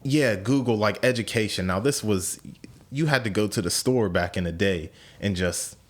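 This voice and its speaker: Low-voiced man in his twenties, musing aloud. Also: normal speed. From United States. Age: 20s. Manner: relaxed, thinks aloud with repeats. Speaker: podcast host. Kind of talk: casual conversation.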